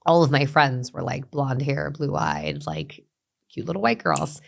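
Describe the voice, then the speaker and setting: A middle-aged woman, a guest talking reflectively in an interview, with many restarts and soft trailing endings. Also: deep voice, big pitch swings, a little slow, vocal fry. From Los Angeles, USA. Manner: thoughtful, many fillers and restarts, trailing softly. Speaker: guest, beauty brand founder.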